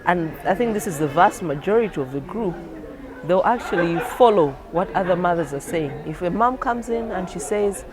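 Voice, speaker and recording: A woman's voice: fairly low pitch, expressive, normal speed, a crowd in the background. A woman clinician speaking conversationally as she explains things for a training film.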